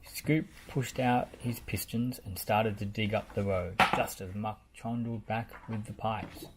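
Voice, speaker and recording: A storyteller reading a children's picture book aloud in a measured, deliberate way, with background noise. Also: medium pitch, fairly even intonation.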